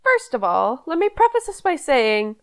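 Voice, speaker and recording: High, very animated woman guest commentator. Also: normal speed, no echo.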